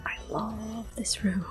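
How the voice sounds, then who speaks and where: A woman giving gentle, unhurried ASMR game commentary in a breathy, whispery voice. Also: a little high. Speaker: gameplay host. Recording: music behind the voice. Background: soft game music.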